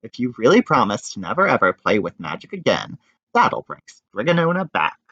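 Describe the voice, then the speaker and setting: A man's voice: lowish voice, wildly swooping pitch, normal speed, pushed and bright, dry recording, muffled recording, heavily acted. A man voicing a clever young village woman in a storybook, sensible and scolding, giving warnings. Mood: knowing. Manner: warning, matter-of-fact.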